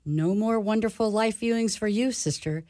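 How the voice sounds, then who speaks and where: A woman's voice: mid-range voice, warm tone, no echo, natural voice. A woman playing a blunt, teasing nun with a style in a radio play. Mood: wry, teasing. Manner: dry teasing, wry drawn-out lines. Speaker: actor in a community radio play.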